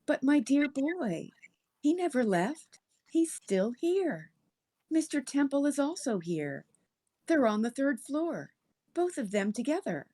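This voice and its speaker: A woman voicing a doting, eerily cozy middle-aged landlady in a story, coaxing and gushing with drawn-out words. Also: high-pitched, very animated, warm tone, pitched above the performer's own voice, heavily acted. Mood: sweetly eerie.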